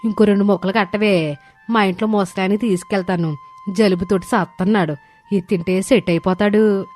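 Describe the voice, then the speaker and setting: A woman voicing a nosy, sharp-tongued older village woman. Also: mid-range voice, fairly even intonation, a little fast, soft background music, lightly acted. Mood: delighted. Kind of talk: dramatised moral story dialogue.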